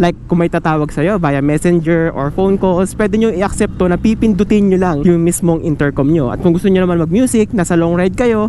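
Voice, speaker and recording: A Filipino man with a high-pitched voice talks in a casual, chatty vlog style. He addresses his viewers directly as he reviews gear. Traffic and vehicle noise can be heard around him outdoors. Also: darkish tone. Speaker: motorcycle vlogger and product reviewer. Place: outdoors on a motorcycle in city traffic.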